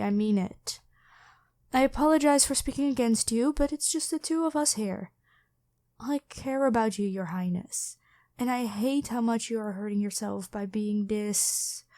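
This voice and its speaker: A woman's voice: a little high, warm tone, no echo, clean studio sound, lightly acted. A woman voicing a devoted, protective royal knight, head of the guard, with formal, deferential speech in a scripted ASMR roleplay. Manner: formal, deferential address to a monarch.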